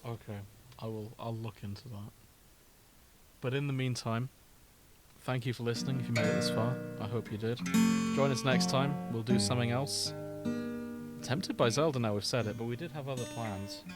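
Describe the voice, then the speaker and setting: A man's voice: medium pitch, moderate pace, loud music behind the voice. A British man co-hosting a podcast in a casual, comedic chat, amused and prone to giggling. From Britain. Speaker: podcast co-host.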